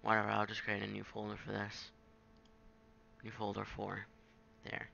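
Bright, creaky-voiced man giving casual tutorial. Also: lowish voice, completely flat delivery, muffled recording. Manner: informal, halting, thinking aloud. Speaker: tutorial presenter. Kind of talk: casual screen-capture software tutorial.

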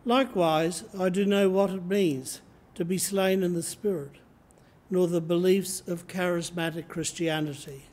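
An older man in his seventies with an Australian accent, preaching a sermon from the lectern of a church and reading slowly and deliberately from his notes. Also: high voice, steady tone, darkish tone. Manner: slow, measured reading from notes. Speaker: priest. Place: church sanctuary, indoors. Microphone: lectern microphone, camera in front.

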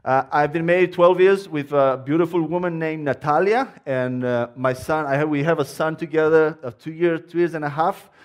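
A man with a Romanian accent preaches from a church pulpit, his voice sitting a little high for a man. He speaks with earnest fervor, often restarting his phrases as he builds his point. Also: normal speed, hardly any pauses. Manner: earnest, fervent, with frequent restarts. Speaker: guest preacher. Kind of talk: sermon. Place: church sanctuary.

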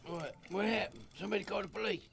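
A man voicing a friendly, goofy country tow truck in an animated game, with a folksy Southern US accent. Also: sits high, rapid-fire, vocal fry, slight background noise. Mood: drowsy, doubtful. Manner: folksy, excitable. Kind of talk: animated video game acting.

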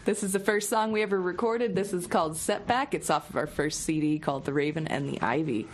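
Lower-pitched woman chatting as band guest. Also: fairly quick, slight background noise. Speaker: singer-songwriter guest. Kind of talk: casual interview chat. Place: radio studio.

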